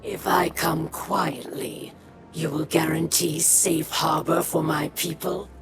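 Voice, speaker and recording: A woman playing a proud, defiant alien pirate captain in a video game, measured and commanding. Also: low voice, steady tone, loud music behind the voice. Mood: proud, guarded.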